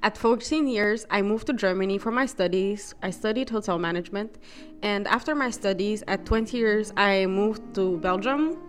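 A woman in her twenties, raised in West Africa, co-hosting a podcast and reading a measured, slightly scripted self-introduction. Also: mid-range voice, faint music behind. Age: 20s. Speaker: podcast co-host.

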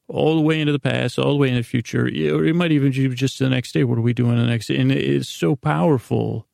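A man hosting a bedtime podcast in a meandering, halting monologue full of tangents, with a gravelly, creaky voice. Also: medium pitch, a little mellow, no echo, clean studio sound. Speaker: podcast host.

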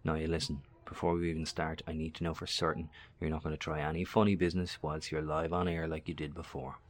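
Very deep-voiced, grave professor. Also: male voice, little pitch movement. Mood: wary, tense. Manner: solemn, deliberate. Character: grave male professor, wary survivor broadcasting from a bunker. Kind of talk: horror audio drama acting.

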